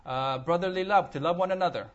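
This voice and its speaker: A man interpreting a sermon phrase by phrase, often halting as he searches for his words. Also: on the high side. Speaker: church interpreter. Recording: dull, lo-fi audio.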